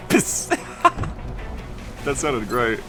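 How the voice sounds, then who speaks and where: Male game streamer, mid-pitched, wry and rambling, swears freely, thinking aloud over game music. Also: lively intonation, extremely slow. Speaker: video game live streamer. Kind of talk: casual live gameplay commentary. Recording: music and game sound behind the voice. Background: tactical video game audio and music.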